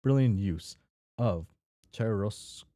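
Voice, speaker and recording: Low voiced guy hosting a read along, super mellow n relaxed, kinda offhand, trips on words a bit, dry room. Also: slow pace, no echo. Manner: mumbly offhand asides, stumbles over words when reading. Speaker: host of a read-along stream. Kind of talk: casual chat while drawing and reading a story aloud.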